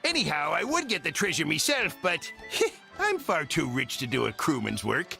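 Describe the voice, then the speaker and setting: A man's voice: a little high, wildly swooping pitch, normal speed, vocal fry, sharp tone, faint music behind, heavily acted. A man voicing a greedy, self-important pirate captain in a video game, with a mock pirate accent and blustering, theatrical manner. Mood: scheming, dismissive.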